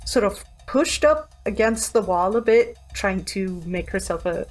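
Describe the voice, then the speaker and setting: A woman's voice: medium pitch, animated, a little slow, darkish tone. A woman talking casually as a player in a tabletop roleplaying game, with music behind her.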